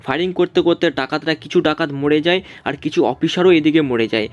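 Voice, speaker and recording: A man's voice: a little high, fast talker, highs cut off. A man narrating a scripted story for a cartoon.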